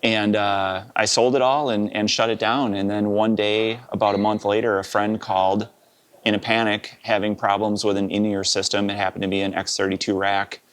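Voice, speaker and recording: Wisconsin man in his fifties, sound engineer guest, low voice, easygoing and chatty. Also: normal speed, clean tone. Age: 50s. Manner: relaxed, rambling, with many "uh" and "you know". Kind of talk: casual interview storytelling.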